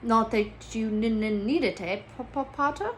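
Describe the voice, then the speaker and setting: A woman's voice: on the high side, moderate pace, slight background noise, natural voice. A woman voicing a timid young male professor who stammers nervously, in a storybook reading.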